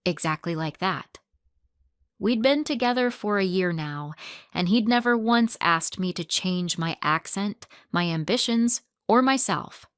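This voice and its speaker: A woman narrating a first-person story in a calm, even storytelling manner. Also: mid-range voice, a little slow, clear, resonant tone, no echo.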